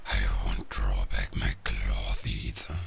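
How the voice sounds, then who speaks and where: A man voicing a weary, melancholic dying werewolf in an audio drama, speaking slowly and solemnly in a gravelly, breathy growl. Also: extremely low pitch, fairly even intonation, slight background noise, full character voice. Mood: resigned, wistful. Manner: slow, solemn, poetic. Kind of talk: slow dramatic audio roleplay monologue.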